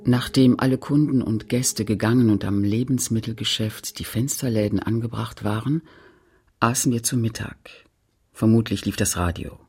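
An actor playing a grave narrator who echoes a memory in sparse, deliberate phrases for a literary radio play. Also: male voice, on the low side, a little mellow, slightly rough. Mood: sober. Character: grave male narrator echoing another's memory in fragments.

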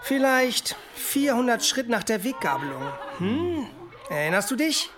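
A man's voice: very high-pitched, very animated, measured pace, crowd noise, exaggerated voice. Male, scheming mock-obedient brother for radio drama; sly, with very varied intonation. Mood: sly, plotting. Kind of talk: German audio drama acting.

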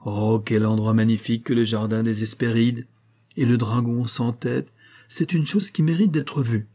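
A man voicing a boastful, sly male giant, reading in a raised, booming voice. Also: steady tone, moderate pace, mellow, lightly acted.

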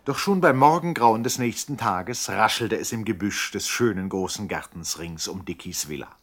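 An actor as a wry storyteller narrating a radio play in a dramatic, measured way. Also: male voice, lowish voice, expressive, fairly bright tone. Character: wry, suspense-building storyteller. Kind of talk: 1960s German radio play scripted narration.

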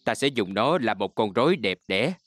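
A man's voice: lowish voice, animated, normal speed, fairly bright tone, no echo, soft background music. A man playing a kindly, fatherly old toymaker full of wonder in a children's fairy tale cartoon. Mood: warm, pleased.

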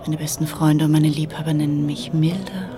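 An actress playing a flirtatious femme fatale with a soft purr in a hardboiled radio drama. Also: female voice, deep voice, fairly even intonation, normal speed, crowd noise, close to an everyday voice. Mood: seductive. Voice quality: soft, purring. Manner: coy, seductive. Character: flirtatious, purring femme fatale, a murderer's wife.

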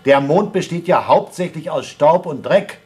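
An actor in a radio play playing a gruff, sardonic male astronaut with a dry, grumbling way of talking. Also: on the high side, lively intonation, normal speed, a little mellow, close to an everyday voice. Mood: loud, sardonic. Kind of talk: radio drama acting.

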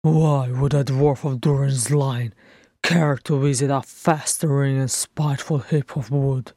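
Proud brooding dwarf king, acted by a narrator: growling, very slow, emphatic, dry sound. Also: medium pitch, steady tone, warm tone, no echo, natural voice. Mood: angry, insistent. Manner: growling, emphatic, drawn-out words. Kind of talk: fan fiction audiobook character acting.

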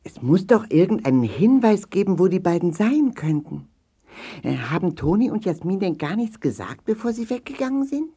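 A man's voice: sits high, very animated, normal speed, dark tone, slightly rough, heavily acted. A man playing a thoughtful, curious doctor in a children's audio play, pondering aloud with musing hums. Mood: concerned, businesslike. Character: thoughtful, curious male doctor, leader of animal friends.

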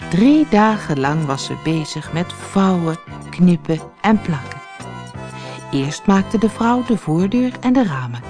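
A woman narrates a fairy tale for children in an audiobook style. Her pitch moves animatedly while her pace stays slow and deliberate. Faint music accompanies the reading. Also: lively intonation. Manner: storytelling, deliberate.

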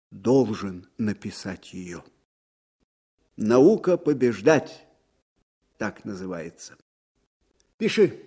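Give this radio drama an old, disgraced field marshal. His voice is husky and fairly high for a man, with a wide, restless swing in pitch. He is folksy and wistful, yet proud and fiery. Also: darkish tone, exaggerated voice. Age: older. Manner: folksy, musing, swinging from murmurs to ringing declamation. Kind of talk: Soviet radio drama acting.

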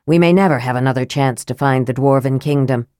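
A woman voicing a cold, sardonic young male mage who speaks dryly, flatly and curtly in a lowered voice. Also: fairly quick, no echo. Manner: dry, flat and clipped.

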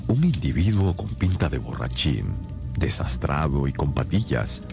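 An actor playing a loyal gentleman who narrates his admired friend's exploits in a radio drama, in a measured storytelling manner. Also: rumbling low, big pitch swings, gravelly, heavily acted. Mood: wry, descriptive. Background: music underneath.

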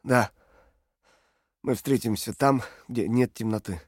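An actor in an audio drama playing a captive dissident under interrogation, fearful yet stubborn and earnest. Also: male voice, mid-range voice, slow, no echo, clean studio sound. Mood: resigned.

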